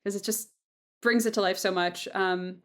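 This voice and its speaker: A woman speaks as the host of a casual interview. Her pitch sits in the middle range for a woman. She talks informally, thinking aloud and hedging as she frames her questions and comments. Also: steady tone, normal speed, fairly bright tone. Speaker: host and audio drama producer.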